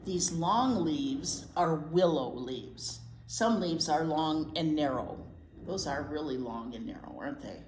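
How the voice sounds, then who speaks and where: A woman's voice: deep voice, animated, unhurried, darkish tone. An American woman, a storytime teacher, talking and reading to young children with simple questions and chatty asides. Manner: asks the listeners simple questions. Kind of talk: picture-book read-aloud with chatty asides to young children. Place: indoors in a library. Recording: echoey room with faint background noise.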